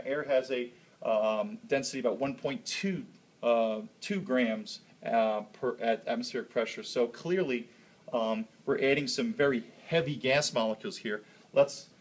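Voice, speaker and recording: A man speaking as a chemistry teacher, explaining a hands-on demonstration step by step and checking in as he goes. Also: medium pitch.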